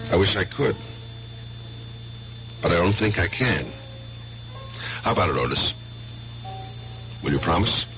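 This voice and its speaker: An actor playing a gruff, protective older brother and detective in a 1970s radio drama, blunt and dead serious as he questions people. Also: sits low, expressive, unhurried, pauses often, faint music behind. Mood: grave, pressing. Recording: muffled 1970s radio broadcast with loud hiss.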